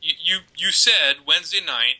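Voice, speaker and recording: A man in his thirties from Baltimore rambles and jokes about himself on a casual parenting show. His voice is very bright and a bit high. He talks into a headset from a room at home over a video call. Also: expressive, normal speed, poor audio. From Baltimore, US East Coast. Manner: rambling, joking, self-mocking. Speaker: podcast co-host.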